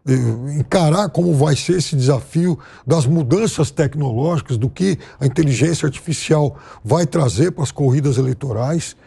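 A man's voice: on the high side, moderate pace, creaky, hardly any pauses, warm tone, slightly rough. A middle-aged man commenting on a TV news panel in a studio, thoughtful and hesitant with restarts. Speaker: political commentator.

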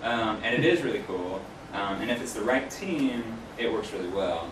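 Low-voiced man, roomy distant recording. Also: animated, moderate pace. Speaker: guest speaker, UX researcher. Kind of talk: informal career talk to a class, answering questions. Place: small classroom, indoors. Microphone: distant camera microphone. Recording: roomy, distant mic, clear background noise.

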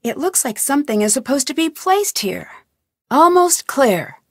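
A woman's voice: high-pitched, sing-song, normal speed, dry recording. An actress voicing a young female amateur detective in a studio game, thinking aloud in an inquisitive, lightly wry way. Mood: curious. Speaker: video game protagonist. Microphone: close studio mic.

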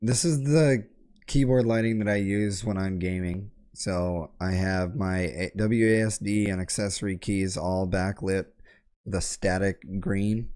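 A young man explains software step by step in a relaxed, casual way, his throat sore and scratchy from being sick. His voice sits a little low and sounds mellow and soft rather than bright. He is close to a boom mic in a home gaming room. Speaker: tech tutorial host. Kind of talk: casual screen-share tutorial. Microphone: boom mic close to face, headset on.

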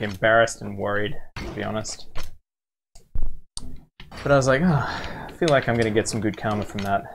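A man's voice: on the low side, creaky, fairly bright tone. A man chatting casually and telling stories in a jokey, rambling way while gaming as co-host. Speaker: gamer co-hosting a let's play. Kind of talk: casual gaming banter and storytelling. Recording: background noise with controller clicks.

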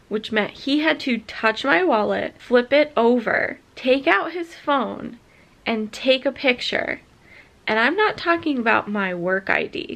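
A woman's voice: medium pitch, creaky, fairly bright tone. A young woman talking frankly to a handheld camera at home, casual vlog chat. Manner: candid, frank storytelling. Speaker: vlogger. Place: living room at home. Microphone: handheld vlog camera at arm's length.

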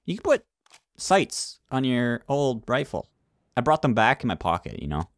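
A man hosting a gaming video, chatting excitedly with friends and urgently directing them. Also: medium pitch, moderate pace, no echo, clean studio sound. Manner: animated, urgent, directing the others. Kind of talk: excited casual gameplay banter with friends.

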